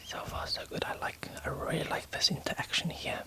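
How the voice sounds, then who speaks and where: A man whispering as he reads and edits a story aloud, with hesitant fillers and self-corrections. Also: brisk. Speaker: writer editing a story aloud. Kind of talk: whispered ASMR story editing.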